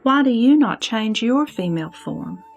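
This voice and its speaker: A woman playing a serene, wise heavenly goddess in a scripted sutra audio drama, teaching in a measured, declamatory way. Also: fairly high pitch, lively intonation, muffled recording. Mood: calm, instructive. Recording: background music under the voice.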